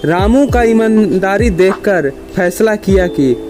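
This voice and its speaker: Slow-paced, higher-voiced man telling moral stories. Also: lively intonation, background noise. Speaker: storyteller. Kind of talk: scripted moral story narration for children.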